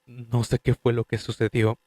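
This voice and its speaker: A man's voice: medium pitch, monotone, normal speed, a little mellow, no echo, natural voice. A man voicing a young male designer who gives a confessional, slightly defensive first-person statement in a fan dub audio drama. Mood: uneasy. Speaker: voice actor reading a statement.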